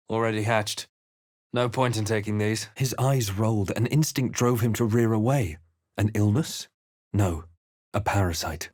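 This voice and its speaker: A male actor playing a brooding, resolute fantasy RPG adventurer in a video game, with a measured, dramatic storytelling delivery. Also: mid-range voice, no echo, studio quality, lightly acted. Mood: dry, terse. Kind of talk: scripted video game character lines and narration.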